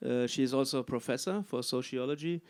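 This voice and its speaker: Male panelist of medium pitch, thoughtful and halting. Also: a little mellow. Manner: hesitant, many fillers and restarts. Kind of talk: panel discussion answer.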